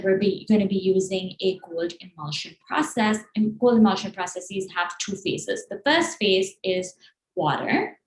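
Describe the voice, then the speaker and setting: A young woman from Pakistan with a Pakistani accent, co-hosting and explaining a science lesson in an earnest way, with frequent ums. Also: mid-range voice. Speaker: co-host, chemistry graduate student. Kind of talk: casual educational chat. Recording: echoey room, mic far off, noisy.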